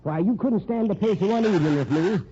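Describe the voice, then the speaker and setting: A man acting a folksy, mellow old millionaire. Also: sits high, fast, close to an everyday voice. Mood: touchy, insistent. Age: older. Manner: folksy, boastful, touchy. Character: elderly vain millionaire, smitten with young women. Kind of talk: old-time radio drama acting. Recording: muffled old radio recording, faint noise.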